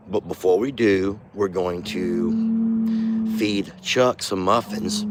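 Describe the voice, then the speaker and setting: A middle-aged man who has lost his voice and talks hoarsely. He chats in a folksy way while filming on his farm, speaking softly to his bull. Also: deep voice, heavy background noise. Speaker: farm vlogger, channel host. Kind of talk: casual outdoor vlog. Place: outdoors on a farm by a bull pen. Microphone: handheld phone camera.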